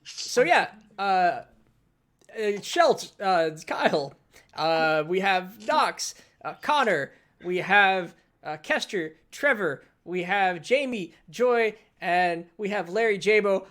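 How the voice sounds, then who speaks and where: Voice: medium pitch, lively intonation, takes it slowly, fairly bright tone. A dungeon master hosting a casual tabletop game stream, joking and self-deprecating, often stammering and restarting. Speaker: dungeon master and show host.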